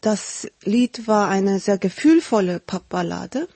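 A young adult woman singer who grew up in Korea, answering interview questions thoughtfully in a halting way with frequent fillers and self-corrections. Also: medium pitch, lively intonation, creaky, warm tone, husky, dry recording. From South Korea. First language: Japanese.

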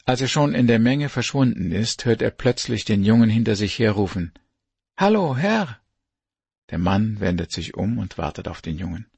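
A man reading a devotional story aloud in a measured, earnest way. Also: fairly low pitch, sing-song, creaky, darkish tone, husky, no echo. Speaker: devotional reader.

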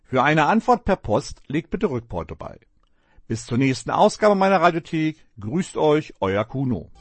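A man presenting a scripted radio history segment in a friendly, clear way. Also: a little high, big pitch swings, normal speed, no echo.

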